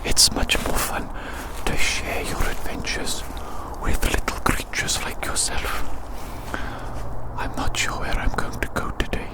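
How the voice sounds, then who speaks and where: Whispering ASMR creator, playful and hushed. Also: poor audio. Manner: playful, teasing, repeats phrases slowly. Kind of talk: whispered ASMR roleplay ramble. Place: outdoors in winter woods.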